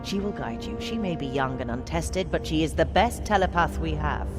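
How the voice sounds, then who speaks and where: Low-voiced, grave commander. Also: female voice, expressive, moderate pace, loud music behind the voice. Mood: grave, briefing. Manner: grave, authoritative. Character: stern mother, commanding resistance leader. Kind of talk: game cutscene briefing.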